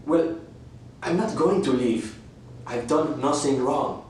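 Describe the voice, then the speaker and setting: A man playing a smug, manipulative houseguest in a radio thriller, measured, falsely reasonable and condescending. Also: on the high side, darkish tone. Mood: defensive. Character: smug, manipulative male houseguest who refuses to leave. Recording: roomy, distant, faint background noise.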